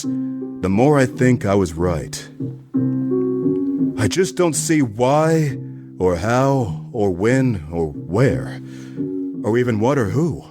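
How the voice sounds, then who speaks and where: A man playing a wry, cynical hard-boiled detective in a noir parody radio play, dry and sardonic. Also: fairly low pitch.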